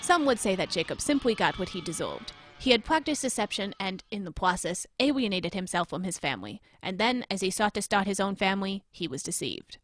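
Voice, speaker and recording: A woman playing an earnest, probing TV newsmagazine anchor in an audio drama, with measured, dramatic narration. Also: medium pitch, expressive, a bit bright, dry recording, soft background music. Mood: grave, intrigued. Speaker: host.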